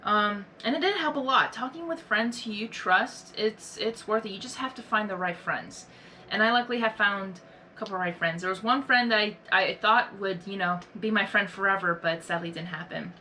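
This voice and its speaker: A young woman hosting a reaction video, talking in a rambling, earnest, soft-spoken way with many fillers and restarts. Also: mid-range voice, normal speed, slight background noise. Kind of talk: casual reflective monologue.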